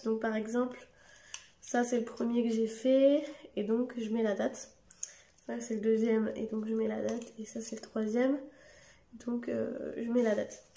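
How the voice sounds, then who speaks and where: A young woman vlogging casually to camera at home late at night, hoarse from a cold, rambling with lots of hesitations and restarts. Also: fairly high pitch, warm tone. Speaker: family vlogger. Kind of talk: casual direct-to-camera vlog. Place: home at night under artificial light.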